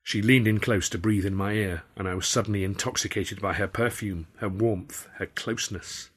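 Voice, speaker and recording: A man's voice: lowish voice, steady tone, moderate pace, fairly bright tone, slightly rough. A man reading a novel aloud as an author and narrator, in scripted audiobook style.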